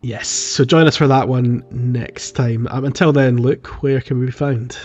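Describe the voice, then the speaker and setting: A man's voice: medium pitch, steady tone, unhurried, darkish tone, breathy edge. Man chatting, slightly muffled recording. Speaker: podcast co-host. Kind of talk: casual video game playthrough podcast chat.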